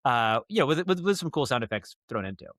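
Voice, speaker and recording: A man speaking as a podcast guest in casual interview talk, explaining his craft in a halting, thoughtful way with frequent self-corrections. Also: mid-range voice, big pitch swings, fairly bright tone, dry recording, clean studio sound. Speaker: guest, audio drama writer and director.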